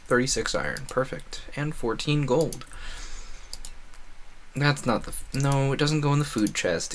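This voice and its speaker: A man's voice: medium pitch, creaky, fairly bright tone, a little hiss or hum. A man doing casual video game stream commentary, rambling playfully and thinking aloud with a self-deprecating streak.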